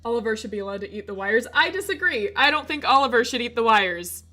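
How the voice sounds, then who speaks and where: A woman streamer with a slightly hoarse voice, chatting casually and giggling as she talks to her chat. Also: a little high, animated, quick pace, pushed and bright, soft background music.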